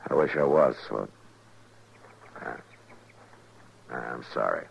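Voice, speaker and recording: Frontier marshal, American, extremely low voice, creaky, grave manner, muffled vintage radio sound. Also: male voice, expressive, takes it slowly, full character voice. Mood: grave, regretful. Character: stern, regretful frontier US marshal. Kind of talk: 1950s radio western acting. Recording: dull vintage radio recording.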